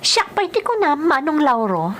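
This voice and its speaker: A woman's voice: high voice, very animated, moderate pace, fairly bright tone, slight background noise. A woman with a Filipino accent acting an emotional domestic worker abroad in a radio drama. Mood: coy.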